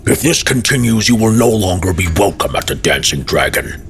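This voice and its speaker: A man voicing a gruff, gravelly tavern keeper, stern and unyielding, in a fantasy story. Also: sits low, lively intonation, normal speed, fairly bright tone, faint music behind.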